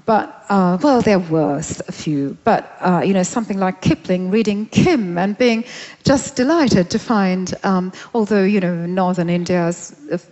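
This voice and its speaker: A woman's voice: on the low side, unhurried, a little mellow. A woman from Sri Lanka, a novelist, answering questions in an onstage festival conversation, reflective and hesitant with many fillers. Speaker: novelist, interviewee. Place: large festival hall on stage. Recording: hall reverb, dull lo-fi sound.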